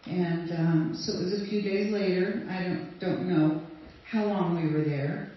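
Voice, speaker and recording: An older woman from Sweden reminisces slowly and chattily, trailing into fillers and soft laughs. She sounds distant from the mic in a very echoey room. The recording is dull and lo-fi. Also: on the low side, steady tone, a little hiss or hum. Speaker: guest speaker sharing memories. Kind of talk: casual storytelling to an audience.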